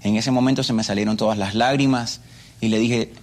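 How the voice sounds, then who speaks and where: A man's voice: fairly low pitch, steady tone, fairly quick. Earnest Cuban man telling his testimony. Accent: Cuban Spanish. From Cuba. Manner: earnest, storytelling, repeats phrases. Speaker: interview guest. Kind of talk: personal testimony.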